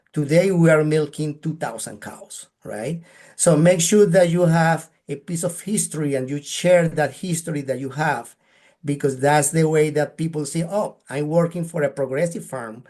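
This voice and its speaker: Colombian-accented male presenter, slightly higher voice, earnest advisory webinar talk. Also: a little mellow. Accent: Colombian Spanish. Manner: earnest, advice-giving, frequent "right?" tags. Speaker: guest speaker.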